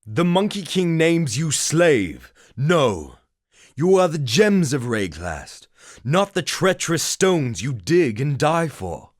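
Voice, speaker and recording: An actor playing a grave, bitter writer in a game, delivering dramatic monologue in a solemn, emphatic way. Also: male voice, lowish voice, dry recording, clean studio sound. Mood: defiant, rousing.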